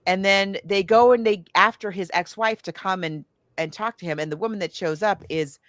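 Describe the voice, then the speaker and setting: A woman's voice: medium pitch, normal speed, a bit bright, no room sound, clean studio sound. A woman podcast co-host casually recapping a film, thinking aloud and backtracking as she goes, slipping into casual quoted asides. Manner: acts out characters' lines in casual asides.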